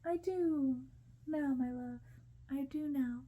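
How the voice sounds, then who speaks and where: A woman's voice: sits high, slow pace, phone-quality sound, voice pushed up. A woman voicing a sweet, lovestruck young princess in a storybook reading. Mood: loving.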